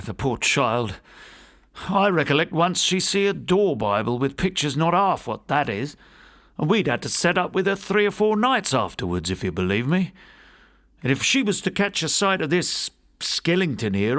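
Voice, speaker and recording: A man's voice: medium pitch, very animated, moderate pace, fairly bright tone. A man voicing a deferential, uneasy college manservant in an audiobook, rambling on in homely, uneducated speech. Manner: deferential, rambling, folksy grammar. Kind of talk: audiobook character voice.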